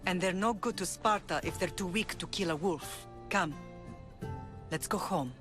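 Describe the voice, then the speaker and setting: A woman performs a stern middle-aged warrior mother for a game cutscene. She speaks in a measured, deliberate way that carries both pride and love, with music playing behind her. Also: lowish voice, lightly acted. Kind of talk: cinematic video game acting. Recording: music under the voice.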